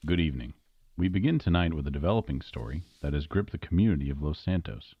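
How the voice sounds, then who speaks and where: A man as a very deep-voiced news anchor. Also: lively intonation, slightly rough, no room sound, dull audio, exaggerated voice. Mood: grave, formal. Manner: formal, measured delivery. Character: grave male local news anchor. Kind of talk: scripted TV news bulletin.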